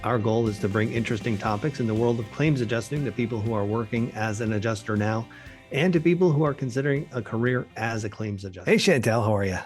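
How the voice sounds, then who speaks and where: A man's voice: mid-range voice, fast talker, a little mellow, soft background music. A man hosting a podcast in casual chat, talking hesitantly with many ums and uhs. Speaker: podcast host.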